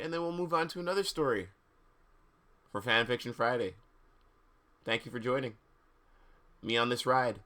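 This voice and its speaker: A man's voice: medium pitch, bright, forceful tone. A man hosting a livestream, switching between casual chat and a theatrical, dramatic reading of fan fiction. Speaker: streamer and host reading fan fiction aloud.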